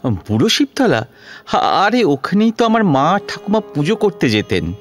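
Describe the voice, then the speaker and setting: A man's voice: sits high, big pitch swings, unhurried. A man playing a kind young doctor of about thirty in a Bengali audio drama, earnest and hesitant, with a Kolkata accent. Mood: gentle, curious. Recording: music behind the voice.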